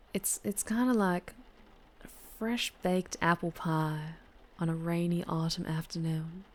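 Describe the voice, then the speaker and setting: A slow-talking, low-voiced vampire biker with a Southern US drawl , played by a woman. She is flirtatious and protective, speaking softly and intimately in an ASMR roleplay, often sinking toward a whisper. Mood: alluring. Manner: soft, intimate, often dropping into breathy whispers.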